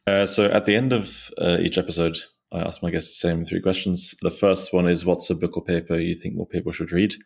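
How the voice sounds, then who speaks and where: A man's voice: low-pitched, level tone, brisk, creaky, hardly any pauses, a little mellow, husky. A young man hosting a podcast, asking questions in a loose, casual way with many fillers. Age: young adult. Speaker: podcast host. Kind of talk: casual interview.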